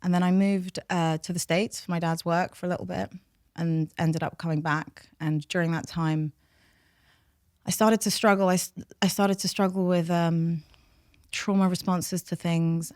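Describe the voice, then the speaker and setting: A woman's voice: low-pitched, darkish tone, no echo. Candid London woman, close dry sound. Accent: London English. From North London, England. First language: Farsi. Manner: open, reflective. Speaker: podcast guest, behaviour change specialist. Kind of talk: candid personal interview.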